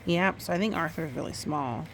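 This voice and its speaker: A woman's voice: deep voice, slight background noise. A woman reading a children's story aloud, storytime style, with chatty asides about the pictures. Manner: expressive, pauses to comment on the pictures. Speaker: storytime reader.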